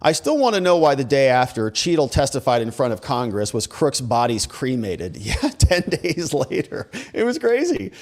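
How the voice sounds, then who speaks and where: A man's voice: medium pitch, big pitch swings, normal speed, hardly any pauses. A man with an American accent hosting a casual live commentary stream, talking conversationally and informally as he reads and answers messages. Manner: informal, conversational, rhetorical questions. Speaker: host, legal commentator. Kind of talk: casual livestream commentary, reading viewer messages.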